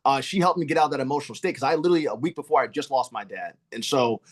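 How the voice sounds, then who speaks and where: Fast-talking American man, sincere. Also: medium pitch. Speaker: podcast host, actor. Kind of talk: casual personal storytelling.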